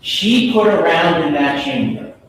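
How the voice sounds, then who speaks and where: A man with a fairly high voice delivers a prosecutor's closing argument. He speaks slowly and emphatically in short, declarative phrases. The recording is heavily reverberant, caught at a distance in a large courtroom. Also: fairly bright tone. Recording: echoey courtroom from far off, faint noise.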